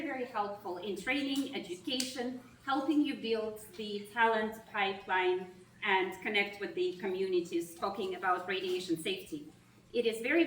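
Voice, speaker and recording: A woman with a Russian accent, an institute president giving a formal speech at a reception, measured and emphatic with pauses between phrases. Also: medium pitch, fairly bright tone, far from the mic, slight background noise. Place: large reception hall with an audience. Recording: echoey hall, voice heard from afar.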